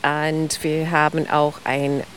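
A woman's voice: deep voice, flat delivery, slow, a little hiss or hum. A woman speaking German as a second language, a guest on a radio show, patiently explaining with non-native grammar. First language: not German. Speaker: food historian guest. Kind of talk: radio interview.

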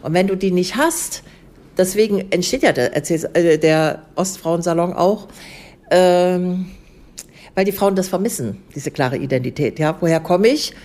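A woman's voice: lowish voice, moderate pace, darkish tone. A woman giving an earnest interview answer, explaining her point with frequent hesitations. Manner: earnest, hesitant, many fillers.

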